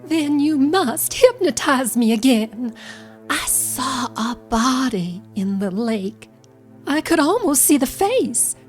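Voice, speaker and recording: A woman's voice: sits high, very animated, takes it slowly, faint music behind, heavily acted. A woman playing a fragile, haunted wife with repressed memories in an audio drama. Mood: pleading, entranced.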